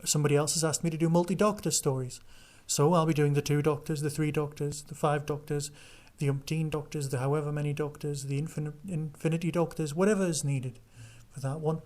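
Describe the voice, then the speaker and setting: A man presents his podcast in a casual, conversational style, talking through news and reviews on his own. His voice sits a little higher than average for a man. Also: fairly even intonation, fairly quick, hardly any pauses, darkish tone. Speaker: podcast host. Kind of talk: casual solo podcast review and listener chat.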